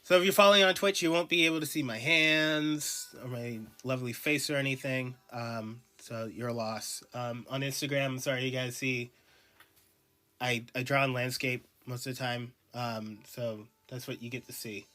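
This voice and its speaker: A man's voice: medium pitch, steady tone, normal speed, pushed and bright. A man talking casually while he draws, congested from allergies, rambling with many ums and uhs. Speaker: artist streaming a drawing session. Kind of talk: casual talk while drawing.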